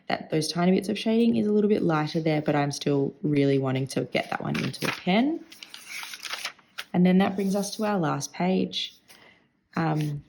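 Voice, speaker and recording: A woman with a croaky, gravelly voice and plenty of vocal fry talks her way through an ink review. She rambles in a chatty way, thinking aloud while she swatches at her desk. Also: low-pitched, darkish tone. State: croaky voice today. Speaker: stationery vlogger.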